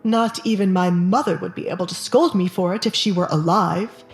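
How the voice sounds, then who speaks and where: A woman's voice: lowish voice, normal speed, faint music behind, lightly acted. A woman as a grumbling husband, roomy echo. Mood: irritable, dismissive. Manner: grumbling, dismissive. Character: weary, irritable middle-aged husband, secretive schemer. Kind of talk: audio drama dialogue.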